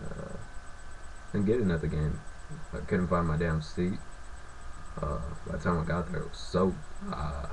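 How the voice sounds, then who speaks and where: A young man from California, sick with a sore throat and raspy, ranting and swearing in a rambling, filler-heavy way. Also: low voice, measured pace, slight background noise, rough recording. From Fresno, California. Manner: profane, rambling, lots of filler. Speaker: sports fan vlogger. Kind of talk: casual ranting vlog.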